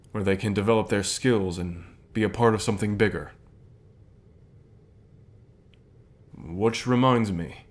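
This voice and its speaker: A man playing a calm, encouraging company boss in an ASMR roleplay, speaking in a measured, unhurried way with pauses. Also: on the low side, lightly acted. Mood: earnest, reassuring. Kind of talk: ASMR roleplay, softly acted one-sided dialogue.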